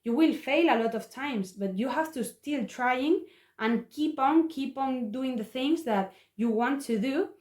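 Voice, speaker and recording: A young woman with a Spanish accent, a native Spanish speaker, giving a prepared motivational talk in halting, earnest learner English. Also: high-pitched, darkish tone. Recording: echoey room.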